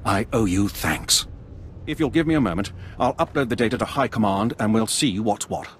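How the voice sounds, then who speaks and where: An actor playing a stern, indignant older military veteran in a video game. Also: male voice, on the low side, normal speed, a little hiss or hum, close to an everyday voice. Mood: grave. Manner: stern, grumbling, earnest. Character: indignant veteran male Imperial officer, older, disgruntled, grave. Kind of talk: video game cutscene acting.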